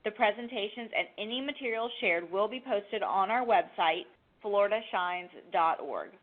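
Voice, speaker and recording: A woman's voice: mid-range voice, moderate pace. A woman hosting a webinar, formally reading out a scripted introduction of a presenter. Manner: formal, reading from prepared text. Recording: phone-like narrow band.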